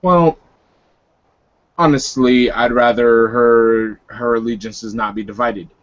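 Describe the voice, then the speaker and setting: A man playing a weary, coolly menacing male werewolf leader who talks in a halting, guarded, dry way. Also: mid-range voice, steady tone, lo-fi sound, lightly acted. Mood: grave, resolute.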